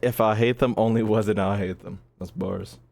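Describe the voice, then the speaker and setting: A man's voice: fairly low pitch, steady tone, normal speed, creaky, a little mellow. A man streaming a game with casual, slangy, profane and jokey commentary. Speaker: gaming streamer reacting to a story. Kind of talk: casual live gameplay commentary. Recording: game music behind the voice.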